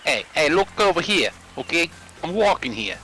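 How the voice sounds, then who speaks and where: A man's voice: a little high, big pitch swings, normal speed, bright, forceful tone, slight background noise, voice pushed up, poor audio. A man putting on a cocky, needling New York mobster with a New York accent in a joking role-play. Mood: brash, challenging. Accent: put-on New York. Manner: brash, needling. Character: cocky New York Italian-American mobster wise guy.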